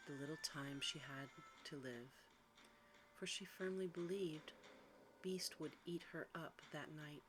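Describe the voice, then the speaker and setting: A woman storyteller giving a soft, measured ASMR narration of a bedtime story. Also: sits low, low-quality recording. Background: music.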